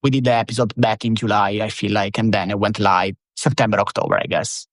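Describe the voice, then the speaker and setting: A man's voice: on the low side, level tone, a little fast, no echo, clean studio sound. A man in his thirties from Italy with an Italian accent, chatting as a podcast guest, loose and rambling with lots of fillers. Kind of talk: casual interview chat.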